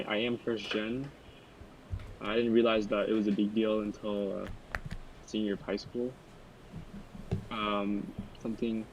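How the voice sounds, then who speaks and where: A man's voice: fairly low pitch, slight background noise, low-quality recording. A young man talking in a casual podcast discussion, loose and rambling, who sometimes loses his thread. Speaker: podcast panelist.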